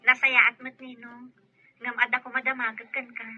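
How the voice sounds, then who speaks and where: A woman's voice: fairly high pitch, flat delivery, moderate pace, breathy edge, no room sound. An actress playing a suspicious, determined wronged wife in a radio drama, pressing and accusatory as she talks. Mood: accusing. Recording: dull telephone-like lo-fi sound.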